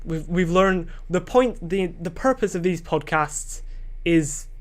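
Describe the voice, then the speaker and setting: Young adult male solo podcaster, slightly high voice; rambling, self-doubting delivery with casual swearing. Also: animated, takes it slowly. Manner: rambling and self-questioning, loses his train of thought. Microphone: desk microphone.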